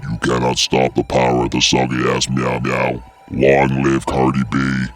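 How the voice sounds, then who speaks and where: A man's voice: extremely low pitch, monotone, unhurried, a crowd in the background, pitched below the performer's own voice. A man voicing an ominous, robotic internet moderator overlord in a solemn, declamatory way for an audio roleplay. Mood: ominous, pompous.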